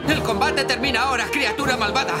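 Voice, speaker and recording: Woman as a high-voiced, valiant young elf boy. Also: fast, lightly acted. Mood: heroic zeal. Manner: pompous, heroic, archaic phrasing. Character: earnest, valiant young male elf adventurer. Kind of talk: animated children's cartoon dub. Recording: music behind the voice.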